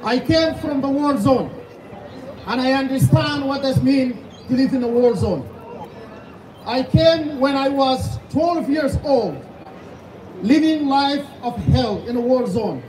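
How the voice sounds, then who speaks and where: A Sudanese-accented man in his thirties delivers a passionate speech at an outdoor rally, his voice pitched very high and firing off rhetorical questions. He is heard from far away through echoing outdoor sound. Heavy noise surrounds him. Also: animated, slow. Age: 30s. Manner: fervent, hammering rhetorical questions, at times shouting. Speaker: pastor speaking at a rally. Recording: distant, echoey outdoor sound with loud background noise.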